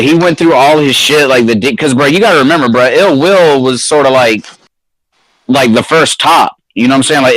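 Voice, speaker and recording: A man in casual podcast chat, slangy and hesitant, often restarting his sentences. His voice sits at a middle pitch. It sounds dry and close, with little room around it. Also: fairly bright tone, dry recording, poor audio. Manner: slangy, hesitant, repeats himself while arguing. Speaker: podcast co-host.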